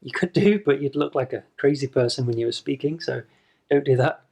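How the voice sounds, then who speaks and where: A man's voice: mid-range voice, a little fast, vocal fry, a little mellow. A man teaching English pronunciation to camera in a patient video lesson, demonstrating sounds and repeating them.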